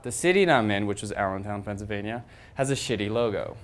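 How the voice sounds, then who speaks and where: A young man who founded a design agency, telling a rambling, animated story to a crowd on a handheld microphone in an event room. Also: on the low side, quick pace, slight background noise. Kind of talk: casual presentation to an audience.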